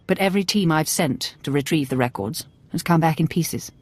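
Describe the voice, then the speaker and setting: A woman's voice: low voice, expressive, a little fast, husky, dry recording. An actress playing a composed female imperial intelligence officer in a video game, giving briefings in a grave, clipped manner. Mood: stern. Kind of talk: video game character acting.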